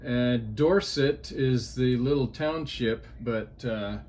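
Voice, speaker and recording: A man from Ohio chatting on ham radio from a portable outdoor setup, unhurried and halting with frequent fillers. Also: mid-range voice, darkish tone, a little hiss or hum. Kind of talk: casual radio chat.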